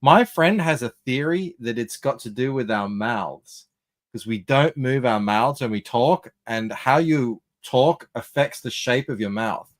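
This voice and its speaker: A man's voice: mid-range voice, a little slow. An Australian man chatting casually on a podcast, explaining things at length. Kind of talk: casual chat.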